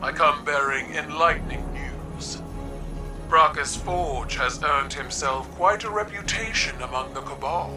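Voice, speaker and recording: A man's voice: on the high side, unhurried, far from the mic, over music, natural voice. An actor with a male-sounding voice playing a proud, regal alien envoy, ceremonious and formal, in a game cutscene. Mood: proud, pleased. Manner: ceremonious, stately. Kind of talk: dramatic game cutscene acting.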